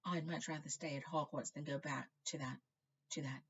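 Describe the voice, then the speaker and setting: Woman reading as a low-voiced, guarded teenage wizard schoolboy. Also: fairly even intonation, normal speed, slightly rough, lo-fi sound. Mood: earnest, uneasy. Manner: formal, deferential.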